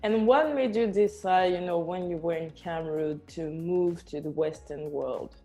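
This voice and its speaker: A woman hosting a podcast who asks interview questions in a relaxed, friendly way. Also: on the low side, moderate pace, faint music behind. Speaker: podcast host.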